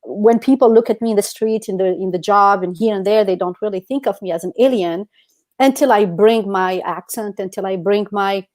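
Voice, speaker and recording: A woman's voice: medium pitch, brisk, darkish tone. A woman co-hosting a podcast, telling personal stories in a casual, emphatic, conversational way full of "you know". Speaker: podcast co-host.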